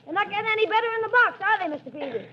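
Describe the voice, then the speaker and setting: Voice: extremely high pitch, animated, racing. A performer playing a cheeky, eager, wisecracking young boy in old radio comedy style. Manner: brash, wisecracking. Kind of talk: 1940s radio comedy acting. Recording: muffled old radio with faint noise.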